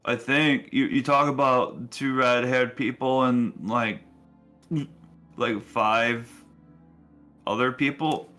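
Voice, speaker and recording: A man plays a hesitant patron in a fantasy tabletop game. He stammers and sounds unsure, in a bright voice with faint music behind. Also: medium pitch, steady tone, takes it slowly.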